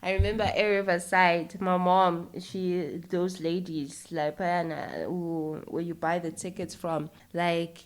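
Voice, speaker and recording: A woman with a South African accent chatting casually and telling stories as an interview guest, in an informal, animated manner. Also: lowish voice, slow pace, few pauses. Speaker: interview guest, actress and filmmaker. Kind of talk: casual conversational storytelling.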